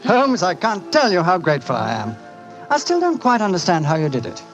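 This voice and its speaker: A dignified, high-born gentleman in a 1940s radio drama, courteous and reserved in bearing. His voice is fairly high with lively inflection, on an old recording with music. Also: fairly quick, lo-fi sound. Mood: grateful.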